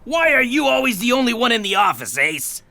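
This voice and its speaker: An actor playing an irascible intelligence agency chief in a comic audio play, barking in exasperation over background noise. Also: male voice, very high-pitched, sing-song, a little fast, bright, forceful tone, heavily acted. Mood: exasperated. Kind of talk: comic sci-fi audio theatre.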